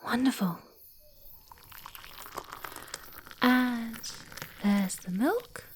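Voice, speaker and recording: A woman voicing a playful, sweet, eager young female fox spirit in a soft ASMR roleplay, cooing and giggly, often slipping into breathy whispers. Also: a little high, slow, a little hiss or hum. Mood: blissful, chatty. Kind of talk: ASMR roleplay, speaking softly to the listener.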